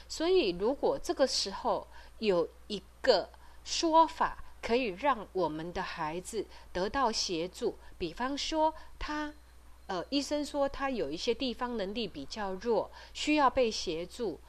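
A middle-aged woman with a Taiwanese Mandarin accent giving informal advice as a host, explaining things hesitantly with frequent pauses and trailing particles. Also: on the high side, big pitch swings. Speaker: podcast host and parent advocate. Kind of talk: informal advisory talk.

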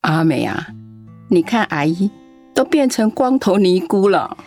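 A woman's voice: medium pitch, sing-song, unhurried, a little mellow, soft background music. A woman voicing an ailing elderly mother in a radio drama, sighing and coughing between her words. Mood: gentle. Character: ailing elderly mother, sharp-tongued yet tender.

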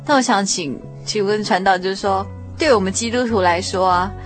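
A woman's voice: mid-range voice, brisk. A woman speaks with a Taiwanese Mandarin accent as the host of a radio programme. She introduces and questions in an interview style, and music plays behind her voice.

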